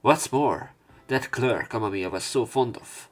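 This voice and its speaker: A man narrating a visual novel let's play, reading the text aloud in a measured way with some stumbles. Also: medium pitch, lively intonation, soft background music. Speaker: let's player.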